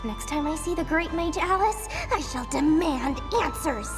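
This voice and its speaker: A woman's voice: high-pitched, lively intonation, normal speed. A woman voicing a scheming little female merchant in a video game, with a theatrical sales patter. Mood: astonished, suspicious. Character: scheming, greedy little female merchant running a prize stall. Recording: music behind the voice.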